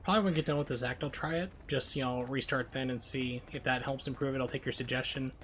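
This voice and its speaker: A man's voice: mid-range voice, steady tone, fast, fairly bright tone, a little hiss or hum. A man casually commentating on his live gameplay, with playful, rambling asides. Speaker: video game streamer. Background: video game sounds.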